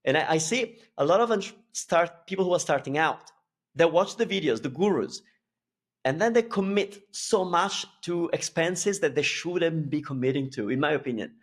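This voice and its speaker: A man speaking in a relaxed, easygoing way as a guest in a casual interview, often restarting his sentences. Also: a little high, normal speed. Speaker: guest, language school founder.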